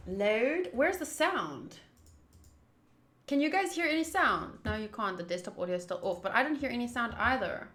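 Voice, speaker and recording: A woman's voice: a little high, animated, normal speed, fairly bright tone, reverberant. A woman hosting a game live stream, chatting casually in a playful, teasing and informal way. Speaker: live streamer hosting a visual novel playthrough. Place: indoors, home room.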